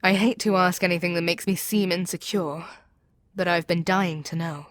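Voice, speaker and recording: A woman plays a demon queen with a somewhat low voice, very dry and free of room sound. She is proud and seductive, addressing her captive with theatrical command and persuasion. The delivery suits an intimate ASMR audio drama. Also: steady tone, moderate pace, no room sound, close to an everyday voice. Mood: wistful, curious. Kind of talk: ASMR audio roleplay acting.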